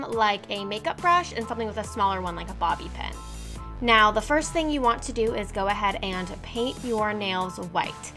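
A woman's voice: medium pitch, fairly bright tone. A young woman in her twenties chatting upbeat through a nail art tutorial in her bedroom, with self-mocking asides. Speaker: beauty vlogger. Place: bedroom, indoors.

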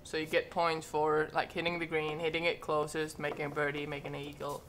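A woman's voice: low voice, completely flat delivery, fairly quick. A young woman explaining things informally in a casual group chat. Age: young adult. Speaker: professional golfer, panel guest. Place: indoor lounge, seated around a table. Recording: faint background room noise.

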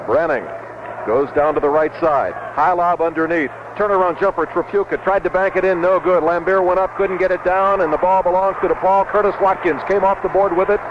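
A man's voice: sits high, fairly even intonation, a little fast. A man calling live basketball play-by-play as a radio announcer, in short clipped phrases. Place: basketball arena broadcast position. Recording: dull, noisy vintage 1970s radio broadcast.

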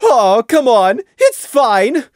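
A woman voicing a bubbly, cheerful female pony officer who talks loudly and pushily. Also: fairly high pitch, very animated, slow, dry recording, clean studio sound, voice pushed up. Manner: chirpy, pushy, loud.

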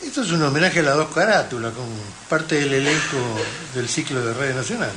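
A man's voice: fairly high pitch, animated, a little fast, noisy background. A man with an Argentine accent hosting a casual live radio interview. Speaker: radio host.

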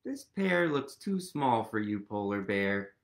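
A man voicing a kind, helpful mouse in a picture book, gentle and questioning. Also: on the low side, sing-song, a little slow, voice pushed down. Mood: reassuring. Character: kind, helpful mouse friend of a polar bear.

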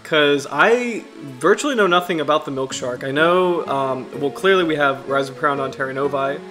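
A man in his thirties from the US Chesapeake Bay region, hosting a casual livestream in a halting, self-deprecating way, his throat slightly raspy from allergies. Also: mid-range voice. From United States, Chesapeake Bay region. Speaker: livestream host.

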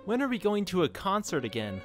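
A man's voice: high voice, huge pitch swings, brisk, over music. A man playing an eager, gee-whiz young son in a scripted radio ad. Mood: eager, curious. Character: excitable young boy, curious son in a cheesy ad.

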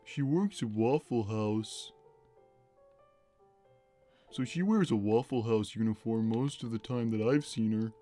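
A man voicing a big, gentle, timid cartoon cat who speaks simply and hesitantly while playing a game on a livestream. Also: on the low side, a little slow, long pauses, lightly acted. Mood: sheepish. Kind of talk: in-character gaming livestream chat. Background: game music.

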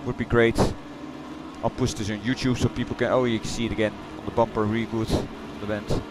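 A middle-aged man with a Dutch accent, chatting loosely through a replay of his racing game run, halting and correcting himself as he goes. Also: medium pitch, level tone, heavy background noise. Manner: halting, rambling, self-correcting. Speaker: sim racing streamer. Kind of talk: casual replay commentary. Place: home gaming room.

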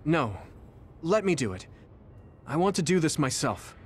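An actor playing a resolute, earnest young soldier in an anime game, speaking plainly. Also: male voice, fairly high pitch, big pitch swings, a little slow, a little hiss or hum. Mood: resolved. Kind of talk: English-dubbed anime game acting. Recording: voice over loud music score.

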